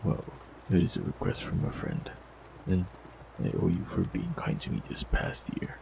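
A man performing a scripted fantasy audio roleplay, speaking calmly and gently with soft teasing, close to the mic. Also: deep voice, moderate pace, gravelly, slight background noise, poor audio. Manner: calm, gentle, softly teasing.

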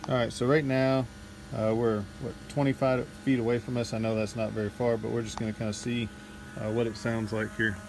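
Low-key man with gravelly, creaky voice. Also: flat delivery, brisk. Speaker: vlogger testing drone gear. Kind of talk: casual unscripted narration of a field test. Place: outdoors at night in a neighborhood. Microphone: handheld cell phone. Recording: noisy outdoor cell phone recording. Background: outdoor ambience and passing vehicle noise.

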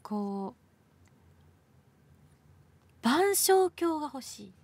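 A woman's voice: high voice, big pitch swings, drawn-out words, a little mellow, no room sound. A woman co-hosting a radio show in casual banter.

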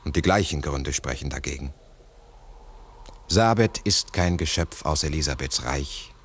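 An actor playing a sceptical, methodical investigator in a 1960s radio drama, deliberately reasoning aloud in formal speech. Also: male voice, very deep voice. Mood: pondering.

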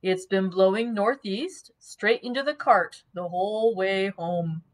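A woman voicing a good-natured, slow-witted carrier man who speaks slowly and deliberately. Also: medium pitch, close to an everyday voice. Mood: plain.

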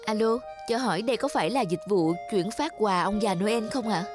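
An eager young male assistant answers his boss politely and deferentially, as a woman voices him. His pitch is middling for a woman's voice. Music plays underneath. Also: lively intonation, normal speed, lightly acted.

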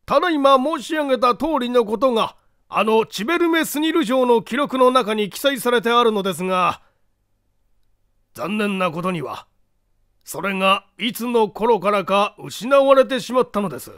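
Man acting a high-voiced, formal castle lord. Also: animated, moderate pace, a bit bright, dry recording, studio quality. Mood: grave, explanatory. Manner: formal, polite, theatrical. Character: courteous anxious male castle lord explaining a mystery. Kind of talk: dramatized Japanese mystery audiobook reading.

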